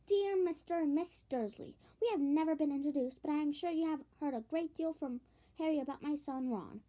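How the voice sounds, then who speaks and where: A courteous, chatty storybook mother, played by a woman reader. Her voice is high and soft-edged, lilting along with eager, friendly politeness.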